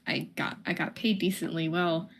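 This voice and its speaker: A young woman with a slightly low voice chats informally. She sounds like a co-host on a casual stream, agreeable and conversational in how she responds and tells her own stories. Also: fairly even intonation, normal speed, gravelly. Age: young adult. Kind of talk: casual chat.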